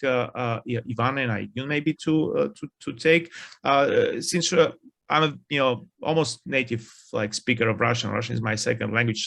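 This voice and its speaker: A man in his forties, a media lecturer, asking a long, halting question from the floor with many fillers. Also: mid-range voice, gravelly, fairly bright tone. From Bulgaria. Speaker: audience member, media lecturer.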